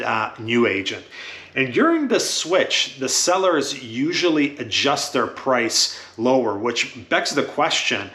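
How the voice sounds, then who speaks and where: Man, Croatian first language, bright forceful tone, matter-of-fact casual talk, uh fillers, roomy echo. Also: mid-range voice. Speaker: real estate agent, show host.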